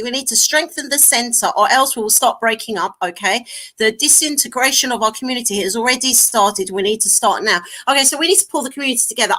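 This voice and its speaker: A woman's voice: medium pitch, fairly bright tone. A woman from North London hosting a casual discussion, chatty and laughing often as she reads out viewer comments. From North London, England. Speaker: host.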